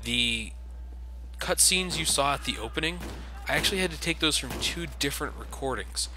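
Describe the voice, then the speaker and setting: A man's voice: on the high side, lively intonation, normal speed, noisy background. A man giving casual, sardonic and complaining commentary over video game footage, with some swearing. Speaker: let's play commentator. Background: video game sounds.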